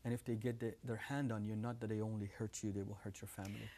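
A man's voice: on the low side, level tone, fast, dark tone. Iranian-accented man in his thirties, earnest studio guest, lapel mic. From Iran. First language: Farsi. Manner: earnest, gestures with hands while talking. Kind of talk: testimony in a studio interview. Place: TV studio set with living room decor.

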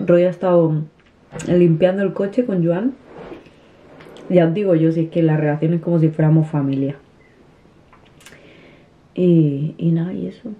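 A woman in her thirties, a family vlogger, chatting casually to the camera while eating at a table at home. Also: sits low. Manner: chatty, restarts often, soft. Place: small room at a dinner table, indoors. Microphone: camera on the table at close range. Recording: tinny, phone-like sound.

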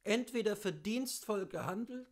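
A man reading classic literature aloud as a host, with deliberate, theatrical phrasing and weighty pauses. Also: high voice, lively intonation. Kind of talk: expressive literary reading, scripted narration.

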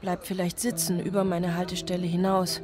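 A woman's voice: fairly low pitch, flat delivery, brisk, crowd noise, natural voice. An actress playing a weary, brooding single mother in a radio drama monologue, loving yet overwhelmed. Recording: music behind the voice.

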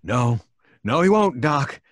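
An actor playing a simple, stubborn, spooked old country man in an old-time radio drama, folksy and halting. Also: mid-range voice, sing-song, dry recording, clean studio sound. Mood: grave, stubborn. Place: home recording, live remote video call.

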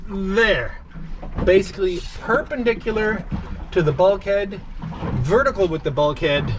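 Guy in his 40s chatting to an action cam while he works, bit high voice, noisy background. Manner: chatty, thinking aloud while working. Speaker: vlogger. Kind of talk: casual how-to vlog. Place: inside a wooden boat, outdoors at a marina, in a truck. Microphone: handheld action camera, close. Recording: clear background noise.

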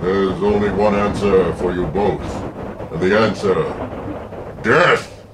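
A man's voice: sits low, fairly even intonation, slow, recorded from a distance. A man voicing an imperious, menacing ghoul sorcerer in grandiose, commanding radio horror style. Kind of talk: old-time radio horror acting. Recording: noisy, off-mic recording.

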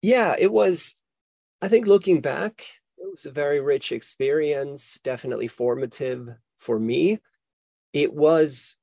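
A man's voice: mid-range voice, gravelly, a little mellow, no echo. A man in his forties from Sweden, a guest historian talking reflectively in an interview, with many restarts and fillers. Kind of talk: casual interview conversation.

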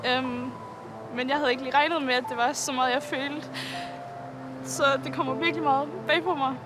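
A woman's voice: sits high, steady tone. A young woman on a reality show, speaking hesitantly in a confessional interview on a beach. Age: young adult. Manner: hesitant, with fillers. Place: outdoors on a tropical beach. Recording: reality TV with music underneath.

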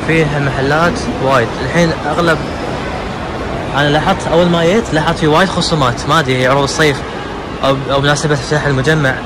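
A man's voice: on the high side, steady tone, rapid-fire. A young man with a Gulf Arabic accent, chatting casually to viewers as he films a mall tour on his phone, often restarting words. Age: young adult. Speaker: travel vlogger. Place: large indoor shopping mall. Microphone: handheld phone camera. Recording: loud crowd and mall noise. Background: shoppers and mall ambience.

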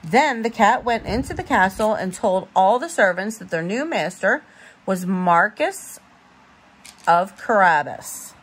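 Woman, parent at storytime, animated intonation, a touch husky, homely and informal read-aloud. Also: mid-range voice, measured pace. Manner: expressive, informal, stumbles over words. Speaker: parent reading a bedtime story.

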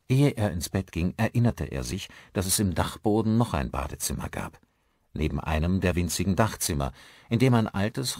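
Low male audiobook narrator, dramatic scary story read, dry close sound, lots of pitch movement. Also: no echo. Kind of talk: scripted narration of a crime horror story.

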